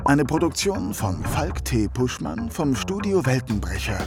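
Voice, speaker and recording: A man's voice: medium pitch, sing-song, a little slow, vocal fry. A man reading a scripted outro announcement for a podcast. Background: music.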